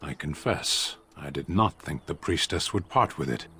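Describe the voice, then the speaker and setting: An actor playing a solemn, formal warrior who seeks atonement, speaking tersely in a video game. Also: male voice, extremely low pitch, expressive, normal speed, pushed and bright, heavily acted. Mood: grave. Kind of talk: video game cutscene dialogue.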